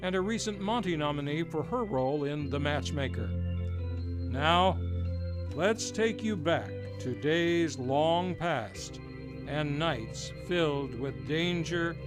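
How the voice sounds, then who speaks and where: A man's voice: fairly high pitch, animated, takes it slowly. A man announcing an old-time radio hour, with formal, flourishing introductions. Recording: music behind.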